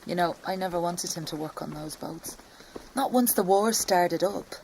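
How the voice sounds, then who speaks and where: An actress playing a grieving mother in an audio drama monologue, wistful, quietly stoic and often hushed. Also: on the low side, slight background noise, close to an everyday voice, low-quality recording. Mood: anxious. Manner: slow, reflective, often hushed to breathy near-whisper. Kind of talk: intimate audio-play monologue.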